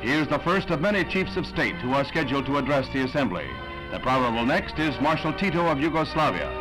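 A man's voice: fairly high pitch, low-quality recording. An American man narrating a 1960s newsreel in an emphatic, scripted announcer style. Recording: vintage 1960s newsreel soundtrack, loud music. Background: loud music.

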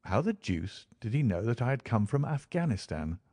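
A man's voice: mid-range voice, big pitch swings, normal speed, dark tone, dry recording, pitched above the performer's own voice, lightly acted. A man plays an affable army doctor from a storybook, frank and full of curiosity. The voice is natural and inquisitive, recorded close with very little room sound. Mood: curious. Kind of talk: audiobook character dialogue.

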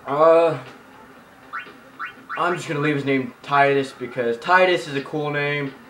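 A man's voice: on the high side, unhurried, pushed and bright, a little hiss or hum. A man doing casual, joking gameplay commentary in a hyper, goofy way as a let's play host. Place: room at home, beside a TV playing the game. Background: video game music from a TV.

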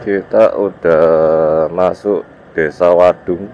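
Male motovlogger, East Javanese accent, congested from a cold. Very deep, informal riding commentary, muffled outdoor recording with faint road noise. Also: steady tone, takes it slowly, darkish tone. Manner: informal, speaking in short loose phrases to viewers. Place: outdoors, riding a motorbike on village roads. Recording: dull muffled sound, motorbike ride noise. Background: vehicle and road noise.

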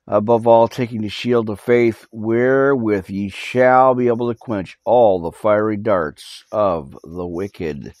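A man with a somewhat low voice leads a live Bible study from a small home studio, recorded on his cell phone. He talks in halting bursts full of fillers and restarts, then presses listeners earnestly to repent. The sound is dry and close, with little room echo. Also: darkish tone. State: recovering from losing his voice. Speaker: pastor. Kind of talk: live podcast Bible study and prayer update.

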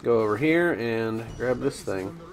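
A man commentating over his own gameplay, chatty and rambling with ums and restarts, his voice slightly raspy today. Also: medium pitch, lively intonation, unhurried. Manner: rambling, frequent ums and restarts, addresses viewers as guys. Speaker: gaming YouTuber.

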